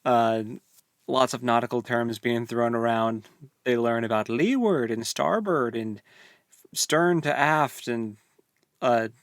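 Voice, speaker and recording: A man's voice: mid-range voice, takes it slowly, dry recording. A man talking casually about a novel with his co-host, circling and rephrasing as he goes. His mid-range voice comes across dry and close, with no room sound. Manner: rambling, lots of fillers and restarts, easygoing. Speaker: book podcast co-host. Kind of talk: casual book discussion.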